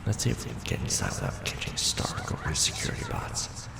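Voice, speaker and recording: Man acting nervous young guy, lively pitch, hesitant, rambling, joking, audio drama, noisy recording. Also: fairly quick, creaky, exaggerated voice. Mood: wry, cautious. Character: nervous, joking young male ex-janitor hosting an alien.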